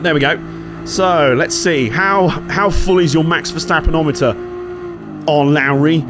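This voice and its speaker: A man with a somewhat high voice, a bit hoarse from a cold, chatting through a racing game. He talks loosely, joking and swearing as he reacts to each corner. Game music plays clearly underneath. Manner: chatty, jokey, sweary, reacting aloud to the race. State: slightly hoarse, coming down with a cold. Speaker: gaming channel host. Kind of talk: casual racing game commentary.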